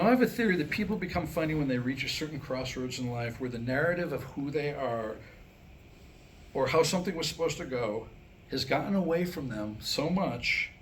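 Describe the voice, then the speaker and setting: A mature man delivering a scripted comic talk to a live audience, telling stories and jokes with deliberate pauses. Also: on the high side, slight background noise, poor audio. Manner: storytelling, deliberate pauses, acting out dialogue in jokes. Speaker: humorist and author. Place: indoor room, live staged reading.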